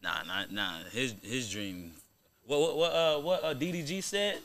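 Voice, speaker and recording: A man's voice: medium pitch, unhurried, fairly bright tone. A young American man hosting a podcast, talking in casual, slangy, profane banter and arguing his points. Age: young adult. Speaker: podcast host. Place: small podcast studio, indoors. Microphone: close boom-arm microphone.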